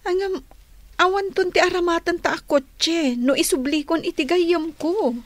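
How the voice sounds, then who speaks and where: A woman's voice: very high voice, steady tone, normal speed, no room sound. A woman playing an earnest young niece in an Ilocano radio drama. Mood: earnest worried.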